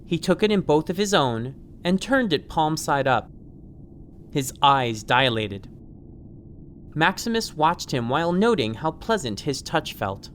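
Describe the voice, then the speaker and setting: A man's voice: a little high, sing-song, measured pace, a little hiss or hum. A man reading scripted fiction as an audiobook narrator.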